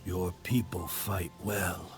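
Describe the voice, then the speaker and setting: A proud leader of an ancient people for a video game. His voice is very deep and his delivery solemn, formal and stately, over clearly audible music. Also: steady tone, takes it slowly. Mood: grave. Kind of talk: video game cutscene acting.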